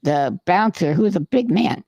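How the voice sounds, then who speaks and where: A man's voice: high-pitched, lively intonation, a little slow, creaky, mellow, no room sound, lo-fi sound. A man co-hosting a podcast, telling a long rambling anecdote in casual chat and swearing freely. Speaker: podcast co-host. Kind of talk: casual storytelling.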